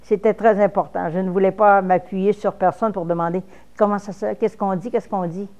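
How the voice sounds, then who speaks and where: A woman's voice: mid-range voice, fairly even intonation, normal speed, darkish tone, muffled recording. A woman in her fifties from Quebec with a Québécois French accent, speaking frankly in a personal interview, with false starts. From Quebec, Canada.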